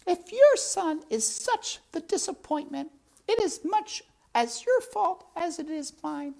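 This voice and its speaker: A shrill mother mouse. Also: animated, takes it slowly, vocal fry, dark tone, exaggerated voice. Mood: indignant. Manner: shrill, exasperated, theatrical. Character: dramatic female mother mouse with French airs.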